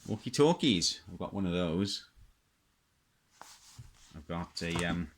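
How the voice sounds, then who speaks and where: A middle-aged man with a low-pitched voice talks through things in an off-the-cuff way. He sounds like a hobbyist showing items one by one, pausing to muse and often repeating his phrases. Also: lively intonation. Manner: halting, musing, repeats himself. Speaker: hobbyist presenter. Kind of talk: casual unscripted show-and-tell commentary.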